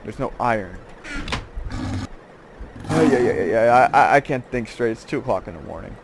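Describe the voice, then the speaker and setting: Man, casual gaming host, rambling commentary, joking asides, mid-range voice, clear background noise with clicks. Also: mid-range voice, lively intonation, moderate pace.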